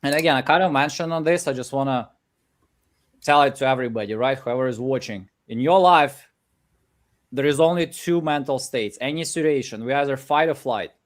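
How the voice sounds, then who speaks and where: Hombre con acento ucraniano, motivador. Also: male voice, mid-range voice, fairly quick. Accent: Ukrainian. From Ukraine. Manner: energetic, pep-talk delivery with many "like" and "again" fillers. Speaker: coach. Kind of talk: live coaching session. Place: home office in Ukraine. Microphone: video call.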